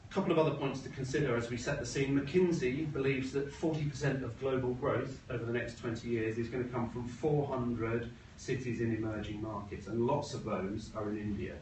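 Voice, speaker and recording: A middle-aged British man giving an informal business presentation in a measured way with wry asides. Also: medium pitch, fairly even intonation, a little mellow. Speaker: presenter, agency co-founder. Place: room at a talk event. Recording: roomy, noisy, distant mic.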